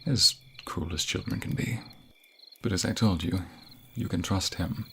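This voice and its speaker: Casting: male actor as tender fantasy king for ASMR romance, lowish gravelly voice, flirtatious secretive murmur. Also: a little slow, a little hiss or hum, exaggerated voice. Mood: fond, confiding. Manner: tender, hushed, breathy murmuring, often whispering. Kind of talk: intimate ASMR romantic roleplay.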